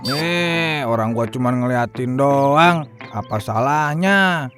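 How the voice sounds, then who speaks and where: A man's voice: mid-range voice, lively intonation, slow, music in the background. A man voicing a joking, haggling slacker in a comic cartoon, speaking colloquial Jakarta Indonesian in a teasing, hesitant way. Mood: sheepish.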